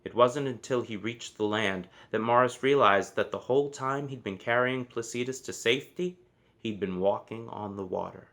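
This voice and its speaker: A man narrating a children's story from a book, with expressive, measured phrasing and deliberate emphasis. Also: mid-range voice. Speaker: storybook reader.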